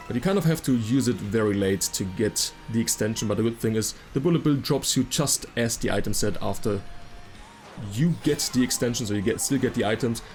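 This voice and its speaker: A man's voice: medium pitch, a little mellow. A man giving casual, detailed explanatory commentary as a video game guide creator. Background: game music.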